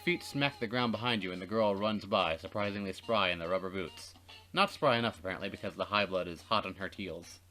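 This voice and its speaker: A man's voice: deep voice, brisk, sharp tone, soft background music, low-quality recording. A man doing a let's play, narrating dramatically and slipping into casual, jokey banter. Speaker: let's player reading a visual novel aloud.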